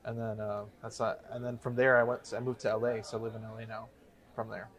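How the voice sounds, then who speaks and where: A man's voice: medium pitch, flat delivery, quick pace, a bit bright. A man from the Chicago area in his thirties, a guest telling his story in a casual interview, rambling and correcting himself as he goes. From Chicago area, USA. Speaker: guest, real estate investor.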